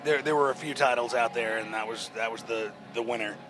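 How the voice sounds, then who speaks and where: A man in a band answering interview questions at a festival, his voice naturally hoarse, talking in a halting way with many restarts and fillers. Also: medium pitch, fairly even intonation, a bit bright, a little hiss or hum. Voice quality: hoarse-sounding. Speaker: rock band member. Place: outdoors at a music festival.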